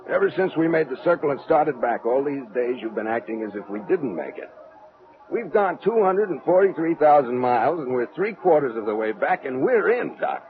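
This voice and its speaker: An actor playing a confident, easygoing middle-aged major on a rocket ship, slangy and informal, in radio drama style. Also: male voice, medium pitch, very animated, normal speed. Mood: puzzled, probing. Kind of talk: old radio drama acting. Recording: thin phone-like old radio recording.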